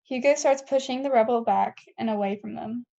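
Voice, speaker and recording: A woman's voice: fairly high pitch, a little fast, vocal fry, warm tone. A woman reading scene headings and stage directions as narrator of a table read, on a dull lo-fi recording.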